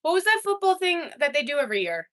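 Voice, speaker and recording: A young adult woman streaming, casually chatting with her viewers and reading their messages, laughing often and swinging between excited and quiet. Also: high-pitched, fast talker. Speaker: livestreamer reading chat. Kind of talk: casual livestream chat.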